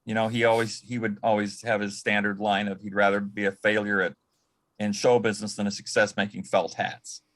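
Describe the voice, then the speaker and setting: An American man from Michigan reminiscing as a panel guest in a halting, reflective way, often repeating words. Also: on the low side, flat delivery, a bit bright. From Michigan, United States. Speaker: panel guest, former assistant to an entertainer.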